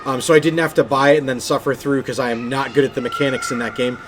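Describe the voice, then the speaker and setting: A man co-hosting a gaming podcast, talking casually and with animated enthusiasm about games. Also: medium pitch, fast talker, a little hiss or hum. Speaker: podcast co-host.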